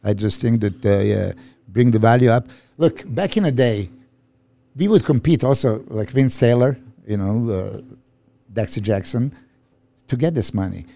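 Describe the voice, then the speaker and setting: A man's voice: medium pitch, moderate pace, gravelly. A man in his fifties from Serbia, a bodybuilding coach and guest, chatting casually on a podcast and laughing often. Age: 50s. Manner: laughs often, relaxed storytelling.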